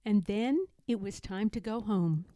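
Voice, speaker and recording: A woman narrating a children's story aloud in an expressive, gentle and deliberate way. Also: mid-range voice, no room sound. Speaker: storybook reader.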